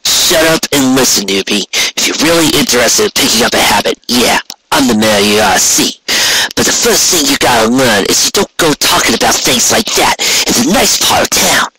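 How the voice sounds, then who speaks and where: A man's voice: medium pitch, animated, moderate pace, pushed and bright, a little hiss or hum, rough recording. Man voicing a cocky, spiteful mare drug dealer. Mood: brusque. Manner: cocky, brusque street talk. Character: cynical, spiteful young mare drug dealer, cartoon pony.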